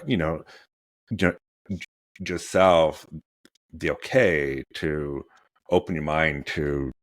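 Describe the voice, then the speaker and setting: A man's voice: a bass voice, dry recording. A man in his forties hosting a podcast interview, rambling with many fillers and restarts. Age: 40s. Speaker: podcast host. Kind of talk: interview conversation.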